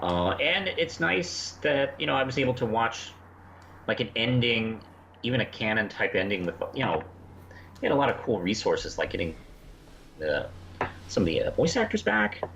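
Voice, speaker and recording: Middle-aged male co-host, animated but halting casual chat, dull echoey lo-fi sound. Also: mid-range voice, gravelly. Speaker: podcast co-host. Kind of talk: casual review discussion. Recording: muffled, echoey lo-fi connection, faint noise.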